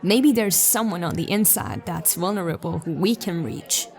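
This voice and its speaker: A woman's voice: fairly low pitch, normal speed, creaky, darkish tone, distant mic, a faint crowd. A woman playing a determined, sceptical police detective in a science fiction audio drama, musing and dry in her delivery. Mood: dry, probing. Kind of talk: audio drama dialogue and investigative monologue.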